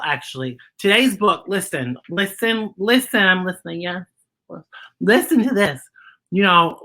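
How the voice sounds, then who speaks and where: A woman's voice: medium pitch, a bit bright. A woman hosting a children's story time, reading a picture book playfully and exclaiming directly to young listeners. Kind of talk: animated children's picture-book read-aloud.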